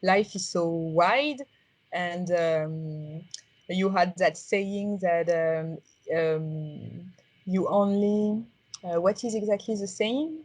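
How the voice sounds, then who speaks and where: A woman with a strong French accent, answering interview questions in a reflective, halting way with many ums and uhs. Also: fairly low pitch, darkish tone, no echo. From France. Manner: halting, many fillers and restarts.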